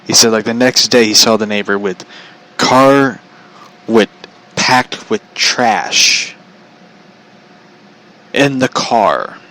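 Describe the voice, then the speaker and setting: A man's voice: on the low side, slow pace, long pauses, bright, forceful tone, poor audio. An American man telling stories casually as a show host, rambling in a blunt, slangy, profane way. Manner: chuckles often while talking.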